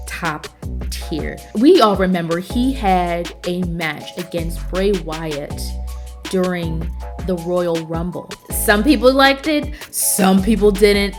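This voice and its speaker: A woman hosting a casual wrestling recap show, talking enthusiastically and repeating words for emphasis in relaxed, slangy phrasing. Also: fairly low pitch. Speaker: wrestling review show host.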